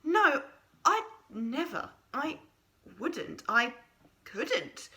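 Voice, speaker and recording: A woman voicing a small, timid male creature sewn together from stitches, who speaks nervously and haltingly. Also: high-pitched, very animated, sharp tone, pitched above the performer's own voice, full character voice. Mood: nervous, hesitant.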